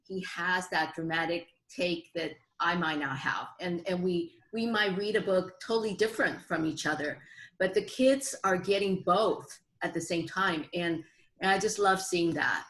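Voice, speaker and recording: A woman talks as a podcast guest in a casual, conversational style. Her voice sits a little lower than most women's. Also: a bit bright, low-quality recording. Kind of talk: casual chat.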